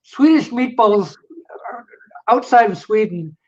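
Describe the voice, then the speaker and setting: A woman's voice: mid-range voice, expressive, slow pace, a little mellow. Jokey, upbeat woman host on a video call, sound a little dull. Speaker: chat host. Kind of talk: casual video chat.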